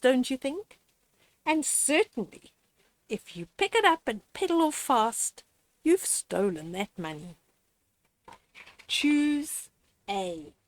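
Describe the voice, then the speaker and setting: An older woman reads a children's story aloud with a high, swooping voice. She takes it very slowly, pausing to speak straight to young listeners. Her manner is gently instructive, as in a picture-book lesson. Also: dry recording. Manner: gentle didactic, addressing young children directly. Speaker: storyteller reading children's books. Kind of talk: read-aloud picture book with moral commentary.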